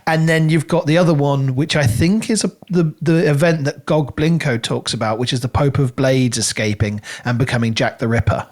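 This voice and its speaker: A man co-hosting a podcast, talking through a book in a casual, animated and rambling way. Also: medium pitch, moderate pace, few pauses. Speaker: podcast co-host reviewing a book.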